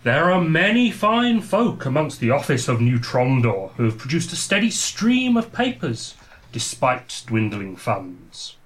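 A man's voice: medium pitch, sing-song, unhurried, a bit bright. A man playing a proud, defensive warrior who declaims in an amateur comic pantomime. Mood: proud protest.

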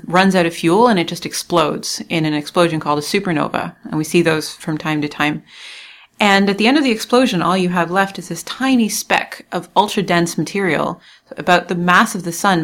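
A woman's voice: fairly low pitch, a bit bright. A woman from Canada, an astrophysicist explaining her work eagerly in an interview, often restarting her sentences. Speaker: astrophysicist guest. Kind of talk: interview answers.

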